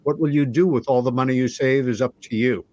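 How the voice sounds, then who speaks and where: A man hosting a talk show, speaking in a measured, deliberate, conversational way. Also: mid-range voice, steady tone, darkish tone. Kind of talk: conversational show introduction and interviewing.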